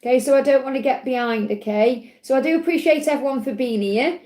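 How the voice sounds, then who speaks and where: A woman with a slightly croaky voice, giving gentle spiritual advice on a live stream and often checking in with "okay?". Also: high voice, a little fast. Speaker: spiritual reader answering live chat questions. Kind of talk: live-stream Q&A, casual and reassuring. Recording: echoey room.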